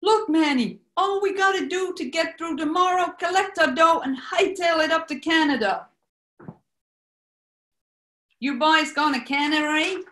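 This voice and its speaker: Woman as male gangster boss, American accent, sharp forceful tone, roomy echo, brash, bossy, wisecracking, 1940s radio play. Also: very high voice, moderate pace, hardly any pauses. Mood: boastful, sardonic.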